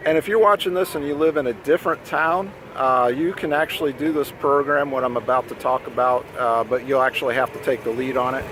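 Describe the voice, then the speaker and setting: A man's voice: mid-range voice, fairly even intonation, a little fast, few pauses, fairly bright tone. A man speaking informally to the camera as a vlogger and amateur radio enthusiast. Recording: outdoor recording with clear ambient noise.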